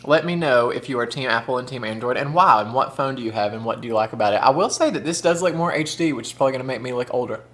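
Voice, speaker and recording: A man's voice: mid-range voice, fast, few pauses, a bit bright. Man in his thirties, camp, chatty food vlogger, often talks with his mouth full. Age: 30s. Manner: chatty, camp, says "y'all" and "honey". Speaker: mukbang vlogger. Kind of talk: casual chat while eating.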